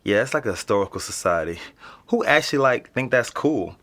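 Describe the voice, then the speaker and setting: A man's voice: medium pitch, lively intonation, brisk, fairly bright tone, lightly acted. An actor playing a wary young male tenant who talks casually, swears and sounds uneasy. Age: young adult. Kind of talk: audio drama acting.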